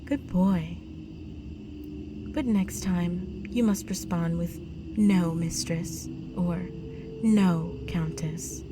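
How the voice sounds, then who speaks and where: A woman playing a seductive, possessive vampire countess in an intimate roleplay audio drama, speaking in a sultry, commanding way that often drops toward a breathy whisper. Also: on the low side, extremely slow, long pauses. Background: loud music.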